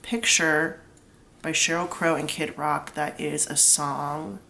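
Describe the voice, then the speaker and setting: Young woman w a low voice and a stuffy cold nose, chatty tarot reading, bit of faint room noise. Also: steady tone, slow, vocal fry, sharp tone. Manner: chatty, lots of "like", explains each pull plainly. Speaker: tarot reader. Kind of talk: casual solo tarot reading.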